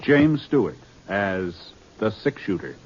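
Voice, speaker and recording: A man's voice: on the low side, big pitch swings, takes it slowly. A man with an American accent delivering a dramatic, scripted 1950s radio introduction as the announcer. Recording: muffled old radio recording, music behind.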